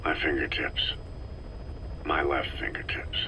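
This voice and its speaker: An actor playing a weary, battle-scarred middle-aged soldier in a video game, giving terse, understated replies. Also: male voice, very deep voice, steady tone, measured pace, pushed and bright, a little hiss or hum, heavily acted, rough recording. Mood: terse, subdued. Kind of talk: dramatic video game dialogue.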